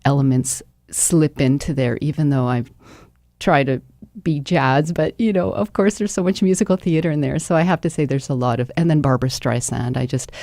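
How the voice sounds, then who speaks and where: A woman's voice: low-pitched, moderate pace, mellow, dry recording, clean studio sound. A Canadian woman speaking effusively as a musician guest in a live radio interview. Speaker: interview guest, singer-songwriter. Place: radio studio.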